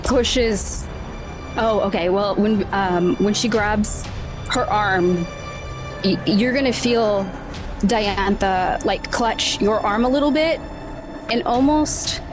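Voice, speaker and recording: A woman's voice: mid-range voice, slow. A woman playing a tabletop roleplaying game, improvising a description of her character's actions. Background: background music.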